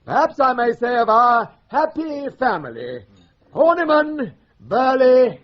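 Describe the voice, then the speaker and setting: An actor playing a pompous senior law partner in a British radio drama, delivering a grandiloquent formal speech in an English accent. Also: male voice, very high voice, very animated, a little slow, highs cut off, a little hiss or hum, exaggerated voice. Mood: solemn, pompous.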